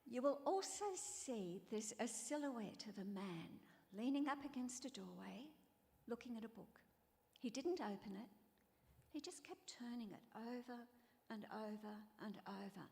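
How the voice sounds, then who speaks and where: A middle-aged Australian woman giving an earnest, measured ceremonial speech as guest speaker, a teacher librarian. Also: fairly high pitch, animated, gravelly, a little mellow. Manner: earnest, measured, heartfelt phrasing in short lists. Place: awards event venue. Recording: long reverb in a large hall.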